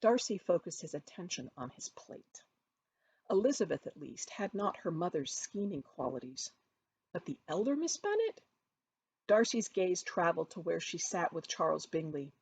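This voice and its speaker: A woman reading her novel aloud as expressive storybook narration, with dramatic, emphatic phrasing. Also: lowish voice, normal speed, husky. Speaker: author reading from their own novel.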